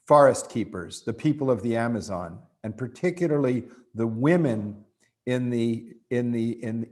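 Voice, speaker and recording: A man hosting an interview, introducing his guest in a measured, earnest way with some restarts. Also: mid-range voice, a little mellow. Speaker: host.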